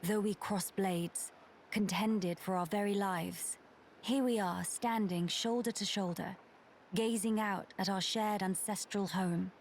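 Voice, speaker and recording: Woman playing a slow-spoken, regal young heiress. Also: medium pitch, fairly even intonation, a little mellow, a little hiss or hum, natural voice. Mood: warm, reflective. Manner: formal, stately, measured. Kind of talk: video game cutscene acting.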